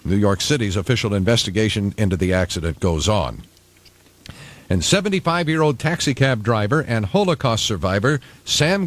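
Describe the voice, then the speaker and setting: Male radio newsman with lively, varied pitch. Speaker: radio news anchor. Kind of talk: scripted local news reading. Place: radio studio.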